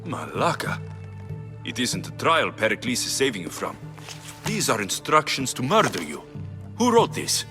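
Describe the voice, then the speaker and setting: An actor playing a blunt, self-assured Greek mercenary hero in a video game, speaking tersely. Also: male voice, medium pitch, wildly swooping pitch, normal speed, music in the background. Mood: matter-of-fact. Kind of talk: video game cutscene acting.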